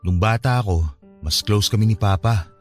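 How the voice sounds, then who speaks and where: A man's voice: fairly low pitch, moderate pace, soft background music, close to an everyday voice. An actor playing a hurt, defensive young man confiding in his ex in a radio drama. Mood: subdued, confiding.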